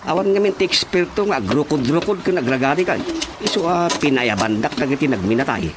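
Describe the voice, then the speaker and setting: An actor playing an apologetic, deferential village carpenter with an Ilocano accent in a radio drama comedy. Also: male voice, on the high side, lively intonation, fairly quick, noisy background, natural voice.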